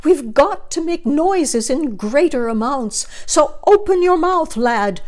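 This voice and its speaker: A woman's voice: sits high, animated, slow pace, a little mellow, pitched above the performer's own voice. A woman voicing a tiny, anxious male town mayor from a storybook, calling out in a rousing, declamatory way. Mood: solemn rallying.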